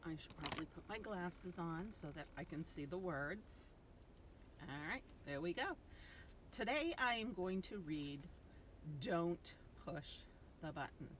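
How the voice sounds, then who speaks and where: Animated older woman reading to children. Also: fairly low pitch, measured pace. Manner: affectionate, addresses young listeners directly. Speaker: grandmother reading picture books aloud. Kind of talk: animated read-aloud for young children.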